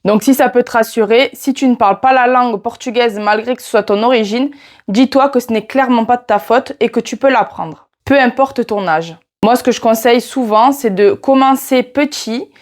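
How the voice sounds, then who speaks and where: A woman's voice: a little high, moderate pace. A woman in her twenties with a southern French accent, chatting casually to camera about teaching Portuguese and often turning to the viewer. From France. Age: 20s. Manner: chatty, addresses viewer directly with "tu vois". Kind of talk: casual vlog talking to camera.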